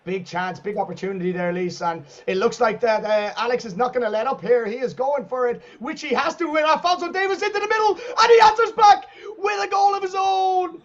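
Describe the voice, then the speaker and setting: A man doing excited play-by-play commentary on a video game football match, shouting at goals, and hoarse as he starts losing his voice. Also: very high voice, brisk, few pauses, muffled recording. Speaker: esports commentator.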